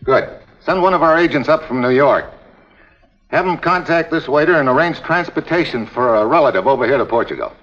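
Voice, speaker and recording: A man acts out a hard-boiled American investigator from a 1950s detective show. His talk is clipped and slangy, at a medium pitch. The sound is dull, old TV audio. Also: animated, fairly quick, a bit bright, lightly acted. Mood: brisk, determined. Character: tough American investigator posing as a deported racketeer. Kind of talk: 1950s detective drama acting.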